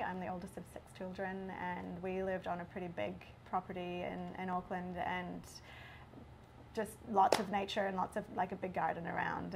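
A woman's voice: lowish voice, gravelly, slight background noise, rough recording. A woman in her thirties with a New Zealand accent, a guest in a casual interview, friendly and chatty and laughing easily, on a lapel mic in a small indoor studio. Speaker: guest, ceramic artist.

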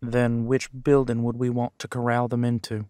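A steady, level-headed male detective voiced by a man in an audio drama. His tone stays even and flat, matter-of-fact, in a dry, close recording. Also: medium pitch, normal speed, clean tone, dry recording, close to an everyday voice.

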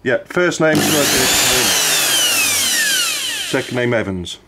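Guy phoning up, kinda hesitant, drill noise super loud in the background. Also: medium pitch, expressive, takes it slowly. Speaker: prank caller. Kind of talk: casual phone call. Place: indoors, kitchen worktop. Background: loud electric drill, clicks.

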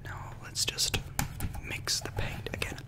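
A young man doing close-up whispered ASMR, repeating words and short phrases over and over into a close microphone. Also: a little slow. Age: young adult. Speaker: ASMR video creator, host. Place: small bedroom, indoors. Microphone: close desk microphone with foam windscreen.

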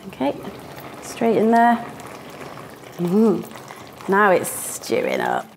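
A woman's voice: medium pitch, huge pitch swings, takes it slowly, creaky, slight background noise, poor audio. Chatty young woman cooking in a kitchen. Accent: northern English. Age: young adult. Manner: chatty, playful, laughs often, sound words. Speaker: student cooking presenter. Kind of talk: casual cooking demonstration. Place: small indoor kitchen.

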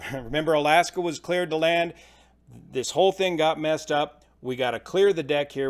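Plain-spoken middle-aged American man. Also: fairly high pitch. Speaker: host, aviation commentator. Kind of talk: informal explanatory commentary.

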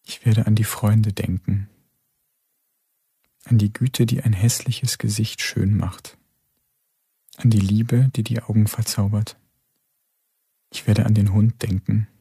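Wistful guy reciting poetic memories, low flat gravelly voice, radio drama. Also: slow, pauses often, heavily acted. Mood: wistful, reflective. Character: reflective man recalling earth's beauty in his final hour. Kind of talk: slow poetic radio-play monologue.

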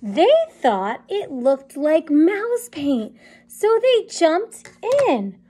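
A woman's voice: sits high, sing-song, very slow, dark tone. A woman in her thirties reading a picture book aloud to young children in a sing-song, encouraging, question-asking way, recorded in a small room. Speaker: children's story time reader. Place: small indoor classroom or playroom.

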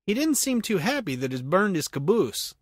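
A man's voice: high voice, big pitch swings, normal speed, no echo, clean studio sound. A man hosting a casual solo podcast in a jokey, self-deprecating manner. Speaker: podcast host.

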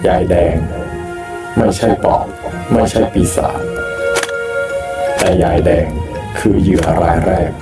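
A grave, ominous man played by an actor narrates an analog horror tape in halting phrases. He is deep and slow, sounding distant, with music behind him. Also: reverberant.

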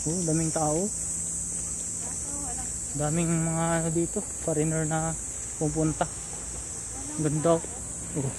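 Slow, even-toned Filipino man outdoors. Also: fairly high pitch, noisy background. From Philippines. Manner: relaxed, pointing things out to viewers as he walks. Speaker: vlogger. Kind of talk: casual walking tour vlog. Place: seaside park path outdoors. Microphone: phone held at arm's length. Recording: outdoor ambience with insects.

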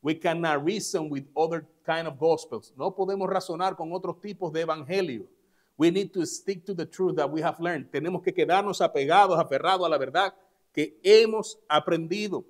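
Man, native Spanish speaker, church pastor, emphatic bilingual sermon, raised pitch. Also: moderate pace. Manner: preaches each line in English, then repeats it in Spanish; emphatic, stressing key words. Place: church service.

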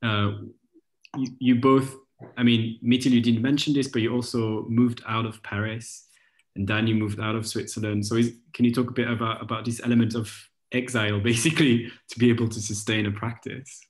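A man's voice: mid-range voice, level tone, a little fast. A young adult man moderating an online book club talk on a video call, chatty and friendly.

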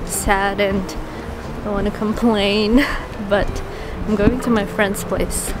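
A woman's voice: medium pitch, level tone, slow, background noise. Chatty young woman vlogging to camera. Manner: chatty, laughs between phrases, many fillers. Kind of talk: casual vlog talk to camera. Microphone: handheld camera close to the face.

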